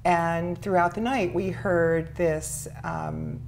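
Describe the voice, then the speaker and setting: A middle-aged woman with an American accent, telling her personal story earnestly and reflectively as an interview guest, pausing between phrases. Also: fairly low pitch, fairly even intonation, creaky, slight background noise. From New York City, United States. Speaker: interview guest, charity founder.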